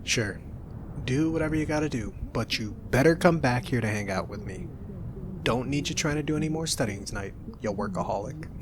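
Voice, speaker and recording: A young man doing an ASMR roleplay as a roommate, talking softly in a teasing, reassuring way. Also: mid-range voice, animated, a little fast, background noise.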